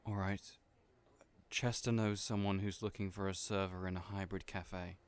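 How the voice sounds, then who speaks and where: A man with a somewhat low voice speaks gently and hesitantly in an audio roleplay, often stammering and trailing off. His delivery stays quiet and reserved. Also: little pitch movement, normal speed, clear, resonant tone. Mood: gentle. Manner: soft-spoken, hesitant, stammering.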